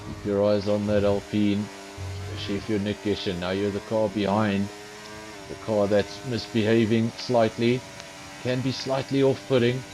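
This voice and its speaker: Low-voiced man commentating a sim race. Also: level tone, normal speed, noisy background. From South Africa. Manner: chatty, self-deprecating, addresses viewers directly. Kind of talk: live esports race commentary.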